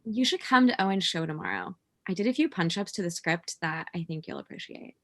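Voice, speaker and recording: A woman's voice: fairly low pitch, lively intonation, brisk, a bit bright. Woman playing scornful thirty-year-old woman, blunt, dry, cutting, very varied intonation, naturalistic comedy table read.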